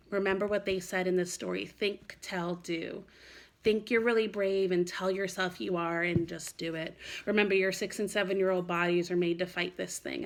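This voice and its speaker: Bright-voiced woman reading to young children. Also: mid-range voice. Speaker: first-grade teacher. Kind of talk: expressive read-aloud for young children.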